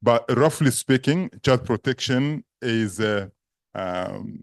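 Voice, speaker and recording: A man's voice: medium pitch, lively intonation, creaky, dry recording, clean studio sound. A man from Congo with a French accent, a native French speaker giving a seminar lecture in a big, emphatic voice. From Democratic Republic of Congo. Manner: slow, emphatic listing with a big voice. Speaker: guest lecturer.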